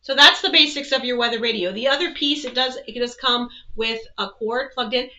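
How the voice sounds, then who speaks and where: Woman, bright forceful voice, step-by-step instructional presenter, slightly dull lo-fi recording. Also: on the high side, fairly quick. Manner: explanatory, step by step. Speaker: presenter, weather educator. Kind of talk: instructional product walkthrough.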